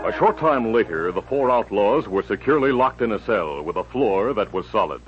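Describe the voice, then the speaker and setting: Muffled old radio, low-voiced guy narrating a western dramatically, music behind. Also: expressive, moderate pace.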